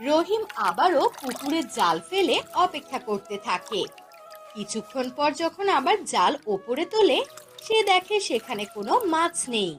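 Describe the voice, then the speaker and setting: A woman's voice: sits high, big pitch swings, normal speed, faint music behind. A woman narrating a scripted fairy tale for a cartoon as the storyteller.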